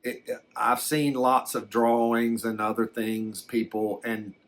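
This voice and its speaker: A man's voice: medium pitch, level tone, a bit bright. A man playing a folksy, plain-spoken country fellow who hesitantly recounts his alien abduction as an ASMR story. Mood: bemused, hesitant. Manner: hesitant, halting, plain-spoken rural phrasing. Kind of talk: slow ASMR first-person storytelling.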